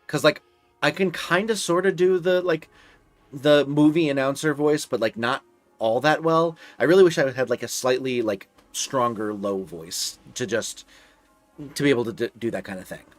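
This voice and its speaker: A man's voice: medium pitch, moderate pace, soft background music. A young man with a slightly nasal voice streaming live, chatting casually and rambling with lots of filler words and restarts. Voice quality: a bit nasal.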